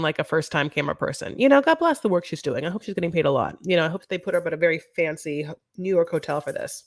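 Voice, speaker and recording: A woman's voice: on the low side, no echo, studio quality. A woman hosting a casual live recap chat, rambling and campy with lots of asides. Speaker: show recap host.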